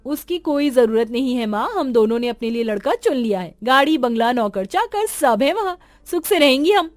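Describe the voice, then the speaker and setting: A woman's voice: high-pitched, lively intonation, moderate pace, dry recording, faint music behind. A woman voicing a brash, discontented young village daughter with an eager, whiny manner. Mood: boastful.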